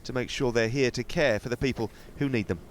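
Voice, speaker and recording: Male news reporter, formal read, outdoor noise. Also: mid-range voice. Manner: measured, formal delivery.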